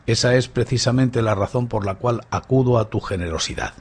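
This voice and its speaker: A man's voice: mid-range voice, fairly quick, darkish tone, slightly rough. A man playing an amused, straightforward police inspector in a radio drama, with a direct, questioning manner. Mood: earnest.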